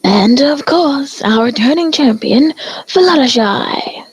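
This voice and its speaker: A woman voicing an excitable cartoon pony sports announcer, delivering play-by-play style commentary. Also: high voice, takes it slowly, dry recording. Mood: announcing.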